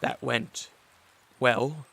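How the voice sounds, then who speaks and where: An actor playing a weary, gloomy vampire count in a radio drama, courteous and self-deprecating, with a halting, formal, dry delivery. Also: male voice, medium pitch, fairly even intonation, vocal fry, noisy background.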